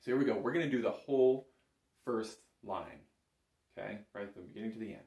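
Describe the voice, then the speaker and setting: A man's voice: on the low side, fast talker, gravelly, darkish tone. A middle-aged man giving an informal, explanatory music lesson to camera, recorded on a phone in a room. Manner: explanatory, often checking in with "right?". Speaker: bagpipe instructor. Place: small indoor music room with instruments on the walls. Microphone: phone camera a short distance away. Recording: roomy echo, phone recording.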